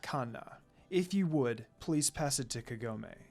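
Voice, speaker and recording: Male villain from a man reading aloud: cold, scheming, stern and measured, quietly commanding, story reading. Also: medium pitch, expressive, voice pushed down.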